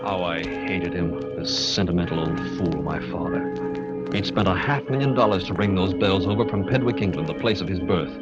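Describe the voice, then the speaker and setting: An actor playing a bitter, brooding son full of hate, melodramatic in the style of 1940s radio. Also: male voice, low-pitched, animated, fairly quick. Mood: bitter resentment. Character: bitter, hateful son waiting for father's death. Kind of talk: 1940s radio acting with first-person narration. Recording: muffled vintage radio broadcast, loud music.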